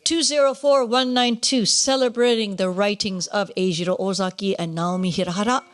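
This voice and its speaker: Animated woman emceeing an awards ceremony. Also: mid-range voice, moderate pace. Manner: animated, playful, exclamatory asides; drops Japanese phrases into English. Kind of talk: live awards ceremony hosting, partly ad-libbed.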